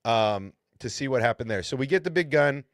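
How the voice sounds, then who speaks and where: A man's voice: medium pitch, lively intonation, a little fast, no room sound, studio quality. A man with a lisp, hosting a podcast in casual, rambling chat and often checking in with "right?". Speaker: podcast host.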